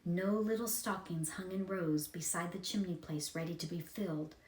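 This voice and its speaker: A woman's voice: fairly low pitch, fairly even intonation, normal speed, echoey room, low-quality recording. A woman reading a children's story aloud as a scripted narration.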